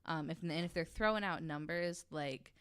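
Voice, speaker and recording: Low-pitched woman with a clear, smooth voice. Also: moderate pace, fairly bright tone. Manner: informal, frank. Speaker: podcast panelist. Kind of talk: casual explanatory podcast talk.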